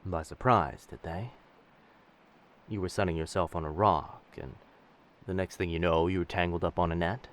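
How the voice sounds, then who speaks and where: A man's voice: deep voice, steady tone, normal speed, natural voice. A man playing a roguish, commanding pirate captain in a roleplay audio drama, speaking in old seafaring diction. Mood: intrigued, gentle. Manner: old seafaring diction, addresses others as lass and sir.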